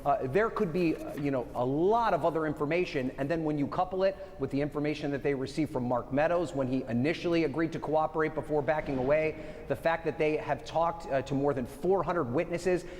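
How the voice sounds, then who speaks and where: A man reporting live for a news broadcast, explaining things in a conversational, slightly halting way. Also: on the high side, darkish tone, big-room sound, slight background noise.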